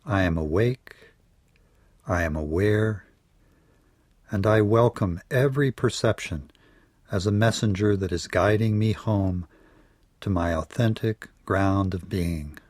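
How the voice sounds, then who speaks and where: A man leading a guided yoga nidra meditation in a measured, soothing way, letting his phrases trail off softly. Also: lowish voice, no echo.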